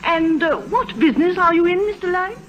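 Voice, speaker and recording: High-voiced genteel lady. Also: lively intonation, fairly quick, highs cut off. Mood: polite curiosity. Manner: polite, hesitant, refined. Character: genteel, curious titled lady on an ocean voyage. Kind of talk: 1950s radio drama acting. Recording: noisy vintage radio recording.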